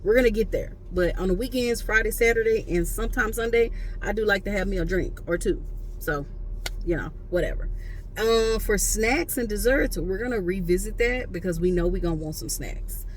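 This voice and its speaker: A woman's voice: mid-range voice, moderate pace, faint music behind, low-quality recording. A woman in her late thirties, chatting casually and playfully to her viewers, slangy and loose. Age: late 30s. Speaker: vlogger. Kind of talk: casual talk to camera.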